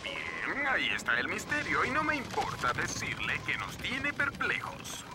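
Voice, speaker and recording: A man's voice: on the high side, huge pitch swings, measured pace, full character voice. A man playing a folksy, chatty seed company salesman in a children's cartoon dub, rambling and courteous as if always reciting slogans. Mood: apologetic, folksy.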